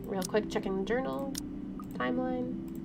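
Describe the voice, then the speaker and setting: A woman with a fairly high voice reads story narration from a visual novel as a game streamer. Clear noise runs behind her in a home recording. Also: moderate pace. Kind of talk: reading visual novel narration aloud with asides.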